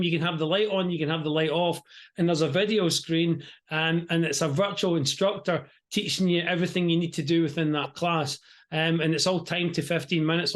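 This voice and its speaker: A European-born man hosting a casual interview over a video call, chatty, often restarting and repeating his words. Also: on the high side. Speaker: interview host.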